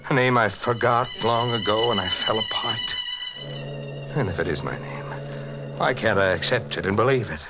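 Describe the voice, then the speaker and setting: A man's voice: on the low side, wildly swooping pitch, moderate pace, poor audio. An actor playing a haunted, desperate seaman who has lost his identity, in an anguished 1940s radio drama style. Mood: brooding, troubled. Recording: old radio recording with music.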